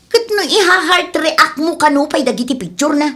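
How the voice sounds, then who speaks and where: A woman plays a jealous young Ilocano girlfriend in a comedy drama. Her voice sits high and turns whiny and accusing as she frets. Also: expressive, normal speed, bright, forceful tone. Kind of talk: audio comedy-drama acting.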